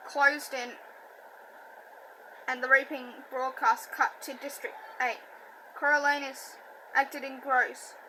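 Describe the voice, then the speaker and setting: A woman reading a novel aloud, halting and self-correcting, with a stutter and a slight lisp. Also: high voice, sharp tone, slight background noise, rough recording. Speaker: audiobook reader. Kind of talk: amateur book reading.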